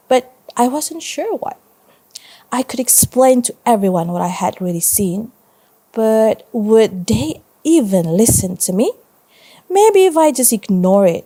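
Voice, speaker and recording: A woman with a soft, mellow voice reads aloud as a primary teacher would. Her delivery is expressive and informal, moving easily between storytelling and chatty explanation. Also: medium pitch. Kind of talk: read-aloud storytelling with casual asides.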